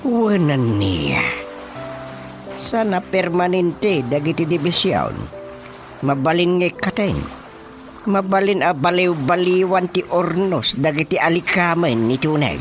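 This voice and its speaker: An actor playing a practical village chairman with a Filipino accent, explaining things in a measured, matter-of-fact way in a radio drama. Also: high voice, very animated, heavily acted. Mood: considering. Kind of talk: Ilocano radio drama acting. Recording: radio drama with music underneath.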